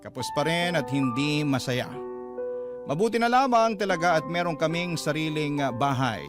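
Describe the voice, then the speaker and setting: Higher-pitched Filipino man narrating over music. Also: animated, moderate pace. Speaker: narrator of a letter sender's story. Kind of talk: first-person dramatic storytelling.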